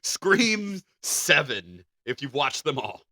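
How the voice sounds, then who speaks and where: A man's voice: on the high side, very animated, takes it slowly, pushed and bright, no echo, clean studio sound. A man co-hosting a podcast, reading out entertainment news with casual banter. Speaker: podcast co-host.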